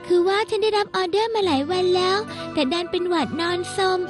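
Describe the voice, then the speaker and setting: A sweet, very high seamstress. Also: female voice, fairly even intonation, fast. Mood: earnest. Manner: polite, soft and earnest. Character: sweet young seamstress shop girl, earnest. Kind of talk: Thai dub of a Japanese cartoon. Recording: music bed behind dialogue.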